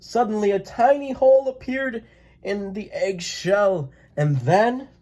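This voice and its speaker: A person reading a children's picture book aloud in a gentle, expressive way. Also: mid-range voice, measured pace. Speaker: read-aloud storyteller.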